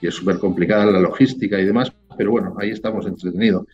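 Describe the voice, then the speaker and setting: A man's voice: low voice, steady tone, racing, a little mellow, highs cut off, soft background music. A man from Spain with a Spanish accent, a winemaker talking casually as an interview guest, rambling and full of tag questions.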